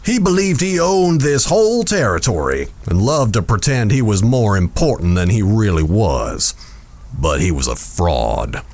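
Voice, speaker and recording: An actor playing a smooth, scheming town boss in a western audio drama, measured, self-assured and insinuating. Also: male voice, fairly low pitch, very animated, darkish tone, full character voice. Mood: smug, insinuating.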